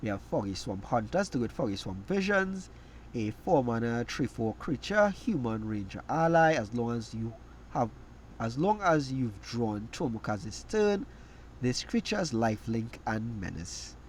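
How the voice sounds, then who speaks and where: A man whose voice is raspy and hoarse from a cold talks through a run of trading cards. His pitch bounces around a lot as he reads rules text and drops in short reactions. A faint hum of room noise sits under him. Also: sing-song. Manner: reads card text aloud, reacts with quick asides. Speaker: card game reviewer. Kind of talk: casual card review commentary.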